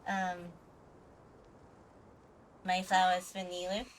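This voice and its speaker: A woman's voice: on the low side, monotone, slow pace, background noise. A woman streaming a video game live, chatting casually and reacting to what happens.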